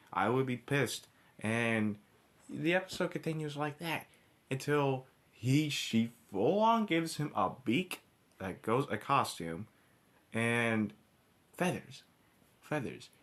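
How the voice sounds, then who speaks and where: Man in an informal, halting rant. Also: medium pitch, fairly bright tone. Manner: halting, with repeated restarts and backtracking; blunt, heavily profane. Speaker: cartoon episode reviewer. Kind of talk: informal ranting commentary.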